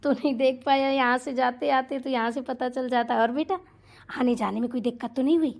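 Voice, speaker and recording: A high-pitched, bossy mother-in-law from a family comedy cartoon, acted by a woman. She dotes on her daughter and fires indignant, scolding questions at everyone else. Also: steady tone, fairly quick, vocal fry, darkish tone. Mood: fussy, affectionate.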